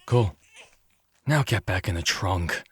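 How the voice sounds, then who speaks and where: A man's voice: sits low, lively intonation, slow, fairly bright tone, a little hiss or hum, full character voice. A man playing a curt, intense demon-fighting squad leader in an audio drama. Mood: dismissive.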